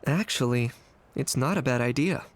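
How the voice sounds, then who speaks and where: A man acts a young warrior in a video game, mid-pitched and easygoing. The character talks casually and matter-of-factly with a wry edge, over clearly audible music. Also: normal speed, close to an everyday voice. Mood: relaxed, decisive.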